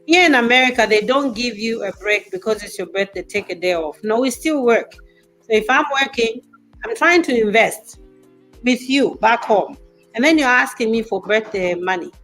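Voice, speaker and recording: A woman in her fifties hosting a casual talk show, teasing and animated as she reads out viewer comments. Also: on the high side, moderate pace, faint music behind, rough recording. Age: 50s. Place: in America, away from her home country.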